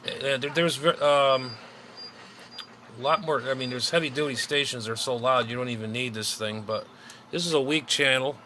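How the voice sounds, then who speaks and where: A man's voice: medium pitch, steady tone, moderate pace. A man giving a casual, off-the-cuff, rambling demo of radio gear in an informal way, recorded on a handheld camera. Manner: rambling, informal, mild swearing. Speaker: amateur radio hobbyist demonstrating gear. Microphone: handheld camera microphone. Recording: loud radio static and hiss, handheld camera.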